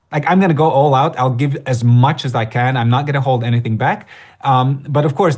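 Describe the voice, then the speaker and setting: A man's voice: mid-range voice, darkish tone. Conversational man hosting a live workshop. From Latvia. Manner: conversational, many fillers and restarts. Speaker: workshop host. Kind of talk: live webinar presentation.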